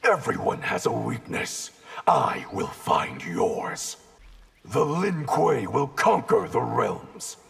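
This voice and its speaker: A man playing a grim, coldly ambitious ninja in a video game, with a gravelly, measured, threatening delivery. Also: medium pitch, wildly swooping pitch, a bit bright, faint music behind, exaggerated voice. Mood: cold menace. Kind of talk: video game fight intro acting.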